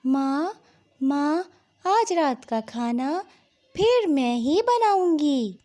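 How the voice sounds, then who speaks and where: A woman's voice: high-pitched, animated, very slow, dark tone, full character voice. A woman voicing a caring, eager young girl bird in a Hindi cartoon story, with a childlike, earnest manner.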